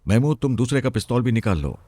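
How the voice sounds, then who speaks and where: A male narrator plays a brave young girl in an adventure story, defiant and cocky as she gives warnings. The sound stays dark and dry, with little change into a child's voice. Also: medium pitch, very animated, quick pace, no room sound, voice pushed up. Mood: stern warning.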